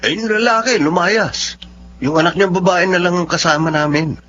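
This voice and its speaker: A man's voice: high voice, moderate pace, pushed and bright, soft background music. A Filipino man acting in a radio drama as a sly, manipulative younger lover who drinks and turns on false sweetness. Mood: smug. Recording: dull lo-fi audio with clear noise, hum.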